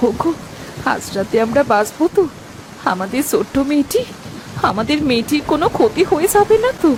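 A woman plays a worried young village wife in a cartoon tale. Her voice sits a little high and swings in melody as she frets and pleads. Faint noise lies behind it. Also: very animated, fairly quick. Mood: anxious. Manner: fretful, pleading. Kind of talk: Bengali cartoon dialogue.

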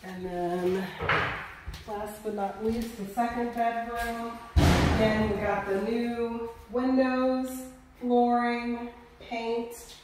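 A middle-aged woman giving a relaxed, chatty walkthrough of a house under renovation, filmed on a phone indoors. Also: fairly high pitch, very slow, far from the mic, noisy background. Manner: relaxed, chatty, pointing things out. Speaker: real estate investor giving a house tour. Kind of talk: casual walkthrough tour. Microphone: phone camera held by someone else. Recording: echoey empty rooms, voice off-mic.

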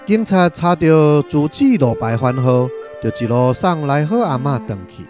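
A man's voice: a little high, expressive, moderate pace, music in the background. A man with a Taiwanese accent narrating a literary radio drama from a script in a dramatic style.

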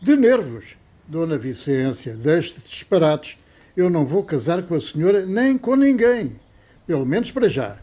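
Radio soap grocer played by a man: European Portuguese, brusque, exasperated, highly animated intonation. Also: normal speed. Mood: exasperated, firm. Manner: brusque, flustered, emphatic. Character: exasperated, blustering male neighbourhood grocer. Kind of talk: old-fashioned radio soap acting.

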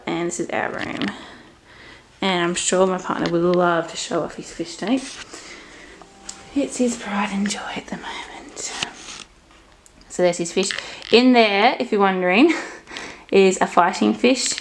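A woman's voice: on the low side, reverberant, poor audio. A young Australian woman in her twenties chatting casually to camera at home, hesitant and stop-start with a slight stutter. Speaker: vlogger. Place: inside a house. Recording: clear background noise on home camera audio.

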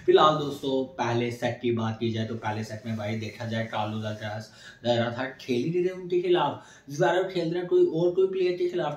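A young man hosting a sports channel, giving an energetic post-match tennis analysis to camera from a small indoor room, listing scores in quick runs. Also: medium pitch, echoey room. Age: young adult. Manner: energetic, recaps scores in quick lists, gestures while talking.

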